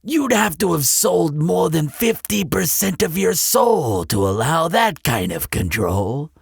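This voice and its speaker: A man's voice: fairly high pitch, very animated, gravelly, husky, no echo, full character voice. A man playing a courteous, slyly persuasive demon hotel receptionist in a scripted roleplay addressed to the listener, polite and coaxing. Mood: coaxing, reassuring. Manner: unhurried, polite, coaxing salesmanship. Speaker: resort front-desk clerk.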